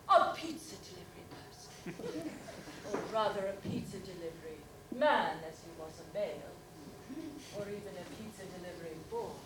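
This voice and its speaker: A woman's voice: a little high, lightly acted. A woman performing a comic monologue as a prim elderly widow with genteel, mannered speech and frequent laughter. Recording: roomy, distant mic with background noise.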